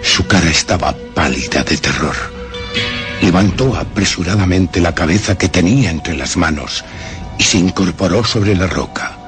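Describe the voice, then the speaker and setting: Actor as very deep, solemn Castilian male storyteller. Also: lightly acted. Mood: grave, hushed dread. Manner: grave, theatrical, emphatic phrasing with dramatic pauses. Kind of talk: dramatic radio drama narration of a horror tale. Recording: music under the voice.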